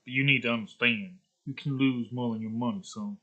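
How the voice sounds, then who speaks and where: A rough, tough Western gambler acted by a man, quietly menacing. He speaks slowly and deliberately, holding his threat back at a medium-to-low pitch. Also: steady tone, lo-fi sound, lightly acted. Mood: low menace. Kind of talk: Western character script reading.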